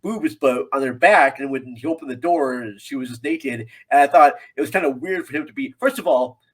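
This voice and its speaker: A man's voice: medium pitch, brisk, husky, low-quality recording. A man appearing as a podcast guest, casually discussing a film in a rambling, jokey, self-deprecating way.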